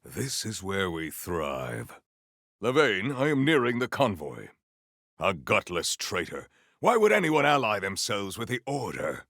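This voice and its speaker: A stern, honorable warrior for a video game. His low voice moves slowly but with broad, theatrical swings of intonation. He phrases everything formally and grandly, with deadpan earnestness. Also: no room sound, studio quality, full character voice.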